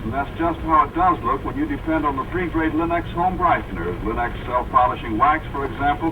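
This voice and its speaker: Old time radio ad guy, highish voice, polished pitch, phone-like sound with vehicle noise. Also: recorded from a distance. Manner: polished, salesmanlike. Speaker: radio announcer. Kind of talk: 1940s scripted radio advertisement.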